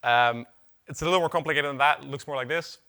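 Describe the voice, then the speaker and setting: Bright-voiced man giving a tech talk. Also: mid-range voice, fast talker. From Netherlands. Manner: casual, filler-heavy, wry asides. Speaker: conference speaker. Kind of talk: technical conference talk. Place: conference hall.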